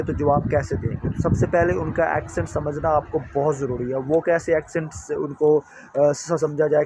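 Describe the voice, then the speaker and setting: A man's voice: on the high side, darkish tone, husky. Young Pakistani man vlogging outdoors. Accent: Pakistani Punjabi. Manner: repetitive, reassuring, brotherly address to viewers. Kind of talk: casual advice vlog. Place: outdoors in a residential street.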